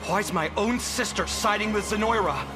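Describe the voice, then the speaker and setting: A man's voice: sits high, moderate pace, over music, natural voice. A man playing an earnest, aggrieved young freedom fighter in a fantasy video game. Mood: accusing, anguished. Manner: earnest, impassioned.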